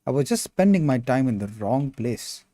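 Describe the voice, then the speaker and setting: A man's voice: medium pitch, very animated, moderate pace, mellow, dry recording. A young man with an Indian accent chatting casually on a sculpting livestream, reading chat aloud and calling viewers "bro.". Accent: Indian English. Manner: laid-back, calls viewers bro, reads chat aloud. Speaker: sculpting streamer.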